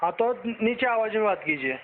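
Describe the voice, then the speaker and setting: A man's voice: sits high, animated, brisk. A man with an Indian accent arguing defiantly on a phone call as a customer, often repeating himself. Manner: defiant, argumentative, repetitive. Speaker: bank customer who owes money. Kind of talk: heated phone argument. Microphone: phone line. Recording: noisy, tinny phone call recording.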